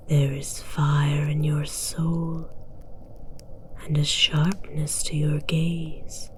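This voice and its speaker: Woman playing a slow, whispering dragon queen. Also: low-pitched, mellow, slight background noise, poor audio. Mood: regal, seductive. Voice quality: breathy whisper. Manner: slow, regal, formal, teasing. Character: imperious, amused, seductive female dragon queen. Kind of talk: whispered ASMR roleplay.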